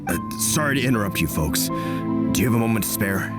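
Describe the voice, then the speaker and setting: A man's voice: fairly low pitch, expressive, brisk, close to an everyday voice. A man voicing a worried older villager, earnest and old-fashioned in his phrasing. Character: worried older male villager, stern but caring. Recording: game soundtrack music behind.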